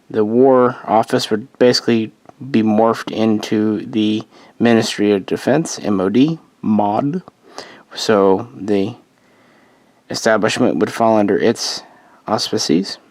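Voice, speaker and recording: Low-voiced man losing his voice. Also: darkish tone, dry recording. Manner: rambling, self-correcting, with offhand asides. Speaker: host. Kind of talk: casual narrated history explainer.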